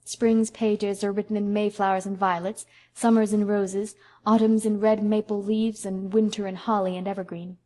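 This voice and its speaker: A woman's voice: medium pitch, little pitch movement, normal speed, hardly any pauses, lightly acted. Reader as a romantic young woman, lyrical and effusive. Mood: dreamy. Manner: lyrical, warm and gushing.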